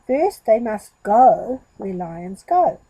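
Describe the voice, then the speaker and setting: A woman's voice: mid-range voice, very animated, warm tone, husky, dry recording, muffled recording, exaggerated voice. Woman playing a theatrical, know-it-all monkey. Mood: instructing. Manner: deliberate, dramatic, lecturing. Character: knowing, theatrical male monkey teacher.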